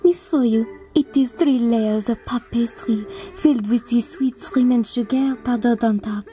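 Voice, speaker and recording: A refined teenage cat girl from a cartoon acted by a woman. Her voice is high and very mellow, with music behind it. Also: fairly even intonation, a little slow, lightly acted. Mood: dreamy.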